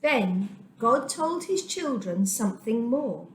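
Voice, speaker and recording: A woman reading a children's Bible story aloud as a storyteller, with dramatic, deliberate phrasing and emphasis, recorded in an echoey room. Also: fairly high pitch, very animated, slight background noise.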